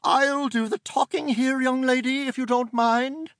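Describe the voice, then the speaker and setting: A man's voice: very high-pitched, steady tone, a little slow, fairly bright tone, clean tone, dry recording, studio quality, pitched above the performer's own voice. A man voicing a stern, bossy older fairy aunt who scolds and commands in a prim tone.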